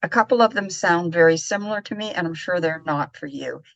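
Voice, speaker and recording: A woman hosting an interview asks her guest a question, pausing and hunting for the right words. Her voice sits fairly low. The recording is muffled and dry, with no room sound. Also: gravelly, no echo. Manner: hesitant, searching for words. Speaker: podcast host.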